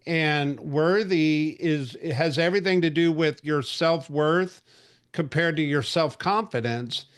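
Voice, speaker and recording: Chatty man in his forties, slightly high voice. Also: unhurried, clean tone. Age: 40s. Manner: chatty, rambling, frequent fillers and restarts. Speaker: host of a real estate industry call. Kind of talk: casual market update briefing.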